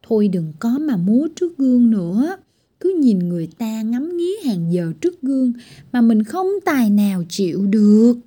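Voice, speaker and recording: Woman doing a caring but bossy tiny storybook girl, a little higher, warm and breathy. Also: very animated, moderate pace. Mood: scolding. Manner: scolding yet caring. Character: gentle, bossy blue-eyed tiny girl Mắt Xanh.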